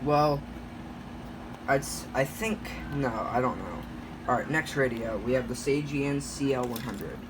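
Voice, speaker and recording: Male hobbyist vlogger, mid-pitched, halting off-the-cuff show-and-tell, clear background noise. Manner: rambling, halting, often self-correcting. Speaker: hobbyist vlogger showing a radio collection. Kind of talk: casual unscripted show-and-tell.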